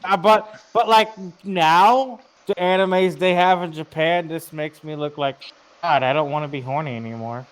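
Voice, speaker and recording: A man's voice: on the high side, moderate pace, a little hiss or hum. A man chatting casually on a group voice call, blunt and given to crude joking. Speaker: podcast participant. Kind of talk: casual chat. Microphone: online group voice call.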